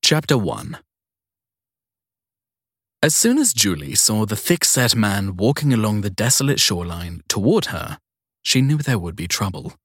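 A man with a fairly deep, husky voice narrates crime fiction as an audiobook. The sound is close and dry, with no room around it. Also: sing-song, a little slow, pauses often, a bit bright, no echo.

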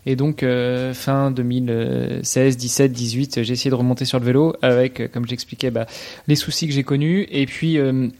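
A man in his thirties from France, talking casually in an interview with many pauses and restarts. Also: medium pitch, steady tone, darkish tone. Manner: hesitant, many fillers and restarts. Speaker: podcast guest, athlete.

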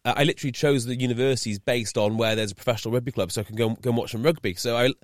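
A young man from North Wales hosting an interview, chatty and quick to stumble over his words, his pitch jumping up when he gets going. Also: no room sound, clean studio sound. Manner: chatty, stumbles and restarts, lively rises in pitch. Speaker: podcast host. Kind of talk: casual interview chat.

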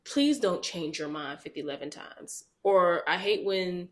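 A woman co-hosting a casual podcast, telling stories in animated, quick bursts with frequent laughter. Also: lowish voice. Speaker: podcast co-host. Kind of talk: casual chat.

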